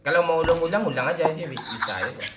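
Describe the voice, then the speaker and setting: A man with a Minang accent streaming a mobile shooter game live, giving loud, bossy calls to his squad and swearing often. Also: fairly high pitch, fast. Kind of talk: live gameplay chat. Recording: echoey room with loud game noise.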